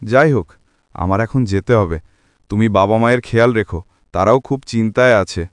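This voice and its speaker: An actor playing a worried, dutiful young husband in a cartoon drama, speaking in an earnest, pleading way. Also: male voice, lowish voice, brisk, no room sound, clean studio sound, lightly acted. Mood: worried, dejected.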